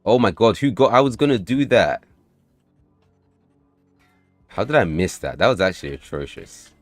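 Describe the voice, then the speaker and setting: A man livestreaming while he builds and tests his game, chatting loosely with viewers, swearing and bursting into excited outbursts. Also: fairly low pitch, normal speed, dry recording, soft background music. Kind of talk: casual livestream chat while coding and playtesting.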